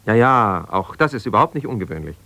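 An actor playing an ambitious, self-justifying lawyer in a German radio drama, speaking haltingly and conversationally as if on the phone. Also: male voice, on the low side, sing-song, vocal fry, sharp tone, no echo, exaggerated voice. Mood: measured, advising. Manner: conversational, halting, with repeated phrases and pauses.

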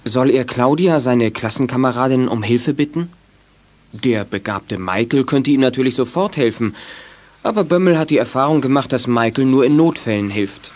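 An actor serving as narrator, reading scripted narration for a German children's radio play. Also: male voice, medium pitch, lively intonation, moderate pace, darkish tone, slight background noise, natural voice. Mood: composed. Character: storytelling narrator.

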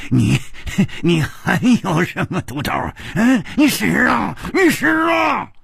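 A man playing a fierce, reckless, sneering swordsman with a hoarse voice, in a martial-arts story. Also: sits high, huge pitch swings, slow, fairly bright tone, no echo, clean studio sound, voice pushed up, heavily acted. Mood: taunting rage.

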